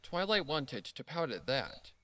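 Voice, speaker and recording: A man's voice: mid-range voice, big pitch swings, a little slow, sharp tone. A man reading scripted fan fiction as the narrator.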